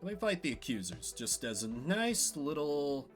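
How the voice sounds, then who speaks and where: A man's voice: on the high side, big pitch swings, normal speed, faint music behind. A man giving casual game commentary, thinking aloud and reading out story narration as he plays. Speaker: streamer playing and solo-voicing a story game.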